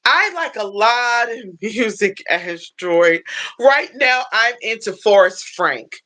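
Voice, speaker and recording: An American woman hosting a casual livestream, telling stories in an animated way, repeating phrases and acting out what people said. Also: on the high side, slow pace. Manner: animated storytelling, repeats phrases, acts out quotes. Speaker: livestream host.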